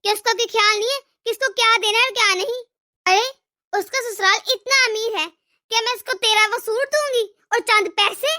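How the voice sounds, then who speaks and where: A woman voicing a scolding, sarcastic, demanding mother-in-law in an animated family drama. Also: very high-pitched, brisk. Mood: accusing. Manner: nagging, sarcastic. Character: scolding, demanding mother-in-law, fiercely partial to her daughter.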